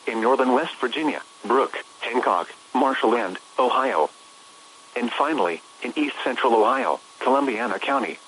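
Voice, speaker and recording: Through clear radio hiss comes a man with a mid-pitched voice. He reads a weather service announcement formally and in a measured way, like scripted public information. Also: fairly bright tone. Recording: hissy, noisy weather radio broadcast.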